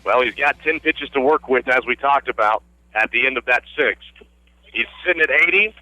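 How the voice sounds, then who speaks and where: A man's voice: medium pitch, normal speed, bright, forceful tone, no room sound, dull audio, faint music behind. A man working as a radio announcer, giving live baseball play-by-play in short, descriptive phrases from the ballfield. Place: outdoor ballfield broadcast position.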